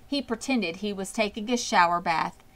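A woman reading a children's storybook aloud as an expressive narrator. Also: mid-range voice, normal speed. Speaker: audiobook reader.

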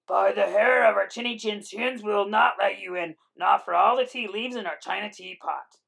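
A woman voicing the three little wolves, timid but stubborn, chanting loudly in defiance. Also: medium pitch, moderate pace, gravelly, breathy edge, heavily acted. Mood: defiant.

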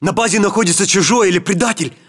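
Tense, high-voiced Russian soldier. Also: male voice, fast, fairly bright tone. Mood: alarmed. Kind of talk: video game military voice lines.

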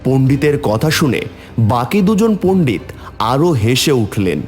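A man giving expressive scripted narration for a cartoon story. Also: mid-range voice, measured pace, long reverb tail. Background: faint music.

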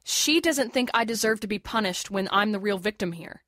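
An actress in an audio drama playing a defiant, sarcastic, fiercely protective young medical student, blunt and emotionally intense. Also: female voice, medium pitch, expressive, moderate pace, fairly bright tone, no echo, clean studio sound, close to an everyday voice. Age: young adult.

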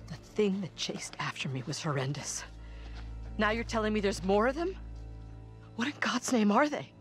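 A woman's voice: medium pitch, lively intonation, moderate pace, lightly acted. A woman plays a middle-aged survivor in a cinematic horror game. She is tough and drily wry, with a mid-range voice. Faint music runs behind her. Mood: appalled. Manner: dry, wry.

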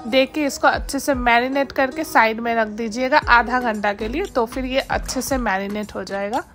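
A woman hosting her home cooking channel, giving steady step-by-step instructions in a voice-over and mixing English words into her speech. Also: fairly high pitch, moderate pace, faint music behind.

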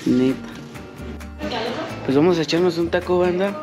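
A man's voice: on the high side, normal speed. A man with a Mexican accent chatting casually to his viewers as a motovlog host, full of informal street slang. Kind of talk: casual vlog chat, slangy. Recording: loud music over the voice.

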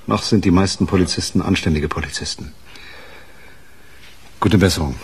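An actor playing a weary, brusque police detective chief in a naturalistic radio crime drama. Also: male voice, low-pitched, moderate pace, slight background noise. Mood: businesslike. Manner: curt, probing, businesslike. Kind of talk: naturalistic German radio play acting.

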